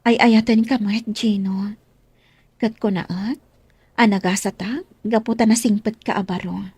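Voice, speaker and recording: An actress playing a gentle, affectionate young woman in an Ilocano radio drama, speaking softly and coyly with an Ilocano accent. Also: mid-range voice, fairly even intonation, a little slow, breathy edge, dry recording.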